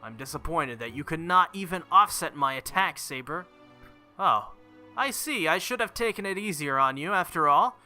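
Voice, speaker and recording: Man plays arrogant game villain, male, sneering and haughty, very bright forceful tone, music behind. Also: a little high, lively intonation, moderate pace, voice pushed up. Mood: gloating. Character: arrogant, mocking, gloating male villain with a sword.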